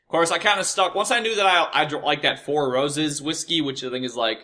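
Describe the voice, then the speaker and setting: Bright-voiced American man rambling casually. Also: on the high side. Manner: profane, repetitive, halting and self-correcting. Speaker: host of a personal storytelling show. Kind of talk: casual rambling personal storytelling.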